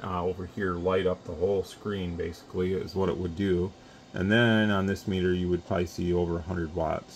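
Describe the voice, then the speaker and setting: A man with a very low voice talks plainly and casually through a radio bench demonstration, now and then counting into the mic. Behind him is a faint, steady hum from the room. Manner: plain, conversational, with frequent restarts and mic test counts. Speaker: radio shop owner demonstrating equipment. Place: radio workbench full of test equipment, indoors.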